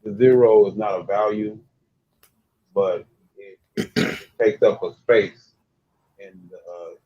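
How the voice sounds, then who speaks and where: Man, 40s, low voice, halting delivery; lo-fi, slightly noisy recording. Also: dark tone, husky. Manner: halting, many fillers and restarts. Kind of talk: casual chat. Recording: dull lo-fi sound with faint noise.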